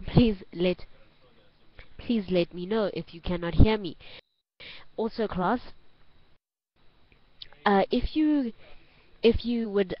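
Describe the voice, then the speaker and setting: A woman with a South African accent teaching an online English class, giving instructions clearly and repeating phrases for learners. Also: mid-range voice. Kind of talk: online group lesson. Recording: dull lo-fi online call audio.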